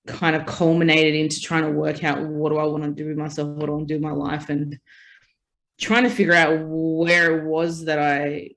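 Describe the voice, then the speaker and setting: A woman's voice: low voice, flat delivery, normal speed, a bit bright. An Australian woman interview guest, telling her own story in a reflective way with frequent "you know" and "like". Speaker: guest, festival founder. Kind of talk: casual interview chat.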